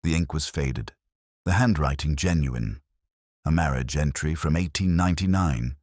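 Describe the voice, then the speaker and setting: A man's voice: a bass voice, moderate pace, a little mellow, no room sound, clean studio sound. A man reading a scripted detective story as its narrator. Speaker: audiobook narrator.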